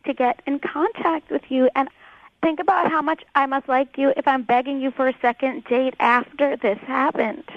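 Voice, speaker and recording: Female caller, very high voice, earnest pleading, muffled phone audio, coaxing. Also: fairly even intonation, moderate pace, no echo. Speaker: radio call-in guest. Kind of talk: pleading personal conversation. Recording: dull band-limited phone call on radio.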